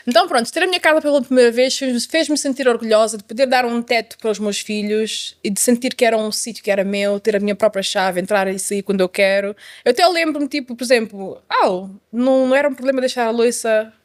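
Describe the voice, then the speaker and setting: A woman's voice: a little high, steady tone, fast talker, few pauses. A middle-aged woman with a Portuguese accent from Portugal, telling her life story conversationally as a guest, with emphatic repetition and the odd English word slipped in.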